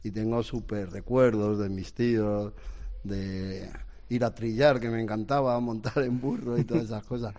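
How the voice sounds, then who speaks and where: A man's voice: fairly low pitch, fairly even intonation, moderate pace, dark tone. Man in his sixties, guest, rambling, hesitant, full of restarts, casual storytelling. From Spain. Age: 60s. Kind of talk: casual chat.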